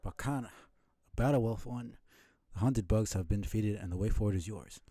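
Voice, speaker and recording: A man's voice: fairly low pitch, normal speed, dark tone, no echo, studio quality, natural voice. A man voicing a proud female warrior bug from a video game, with formal, declamatory speech. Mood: proud, triumphant. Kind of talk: video game dialogue read aloud.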